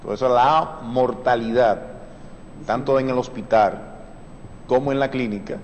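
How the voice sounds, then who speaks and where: A man, a surgeon on a TV talk show, explaining patiently with many fillers and the odd clearing of his throat. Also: mid-range voice, fairly even intonation, unhurried, big-room sound, slight background noise, low-quality recording. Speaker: surgeon guest. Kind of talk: explanatory TV interview.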